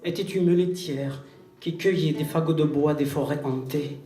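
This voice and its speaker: A man recites poetry in a solemn, declamatory style, with measured phrasing and pauses. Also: fairly high pitch, steady tone, warm tone. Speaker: poet reciting. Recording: roomy, distant live recital recording. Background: plucked guitar music.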